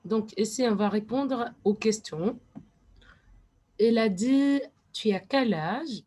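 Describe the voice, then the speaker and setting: A woman teaching French to young learners, speaking slowly and deliberately and sometimes switching to English to explain. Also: fairly high pitch, mellow. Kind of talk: classroom lesson.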